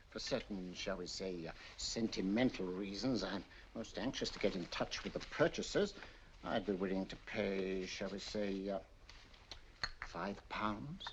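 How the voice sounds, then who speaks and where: A suave, scheming colonel. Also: male voice, deep voice, measured pace, slight background noise. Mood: probing. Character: smooth, scheming middle-aged male colonel. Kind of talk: 1940s film acting. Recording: dull lo-fi old film soundtrack.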